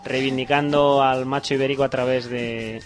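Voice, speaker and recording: A male radio host with a Spanish accent from Spain runs through cultural listings in a chatty, colloquial way. His voice sits at a middle pitch, with small hesitations and asides. Music plays behind him. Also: level tone, moderate pace. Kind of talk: informal radio cultural agenda, chatty commentary.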